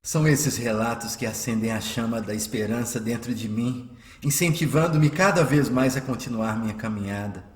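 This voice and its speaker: Brazilian radio-drama healer, male actor: pious and humble, measured mid-pitched voice, roomy sound. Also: natural voice. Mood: hopeful, gentle. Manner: measured, earnest. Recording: roomy echo, music underneath.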